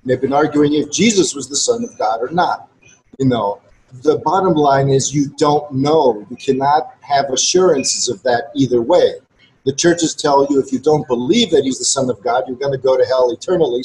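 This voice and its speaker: A man's voice: mid-range voice, a little mellow, low-quality recording. A man whose first language is Greek addresses listeners in a blunt, earnest, lecturing way. His voice sits in the middle of the male range. A faint hiss of noise runs under the recording. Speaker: regular co-host. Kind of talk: casual conversation to listeners.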